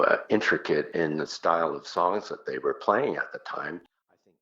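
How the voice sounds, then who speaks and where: Guy with a really low voice telling old stories in an interview, chatty, kinda muffled lo-fi sound. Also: fairly bright tone. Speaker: interview guest, rock singer. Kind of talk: casual reminiscing conversation.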